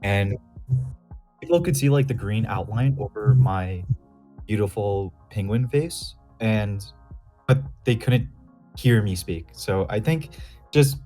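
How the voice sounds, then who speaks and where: A man hosting a casual call-in interview, upbeat and chatty, with a low, mellow voice. He trips over the odd filler as he talks, and there is faint music playing behind him. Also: takes it slowly. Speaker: host.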